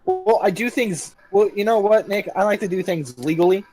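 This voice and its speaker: A man's voice: high-pitched, normal speed, mellow. Brief: young male podcast host, goofy and self-deprecating, faint music behind. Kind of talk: casual comedic podcast chat.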